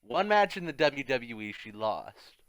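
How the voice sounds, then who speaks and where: A man hosting a gaming video with casual, rambling commentary, repeating himself and swinging between muttering and shouting. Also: medium pitch, sing-song, a little slow, dry recording, poor audio.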